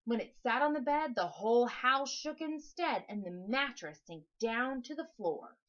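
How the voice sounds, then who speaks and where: A woman reading a rhyming children's story aloud in an expressive narrating style. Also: on the high side, normal speed, highs cut off. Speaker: storybook reader.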